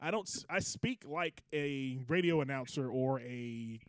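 A man's voice: mid-range voice, animated, unhurried, smooth, no room sound. A man co-hosting a studio comedy podcast, teasing and laughing often in casual banter. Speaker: podcast co-host.

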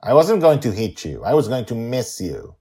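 A man's voice: mid-range voice, sing-song, moderate pace, a little mellow, pitched above the performer's own voice, lightly acted. A man voicing a defiant, angry six-year-old girl in a story, growling with frustration. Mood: angry, defensive.

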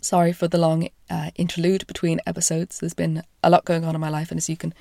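A woman with a croaky voice from a chest infection, hosting a podcast and retelling an old myth in a slow, hushed, half-whispered way. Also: fairly low pitch, completely flat delivery, no room sound. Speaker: podcast host and storyteller.

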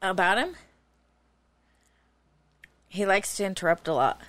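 A woman's voice: medium pitch, big pitch swings, unhurried, fairly bright tone, no echo. Sassy young woman chatting casually. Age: 20s. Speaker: podcast guest; car dealership warranty clerk. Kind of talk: casual banter.

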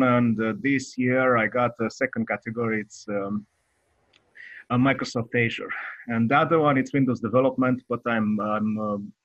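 Romanian-accented male guest, husky and hesitant, on dull dry remote audio. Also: medium pitch, fairly bright tone, dry recording. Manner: halting, many hesitations and restarts. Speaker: guest developer. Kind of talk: interview answers.

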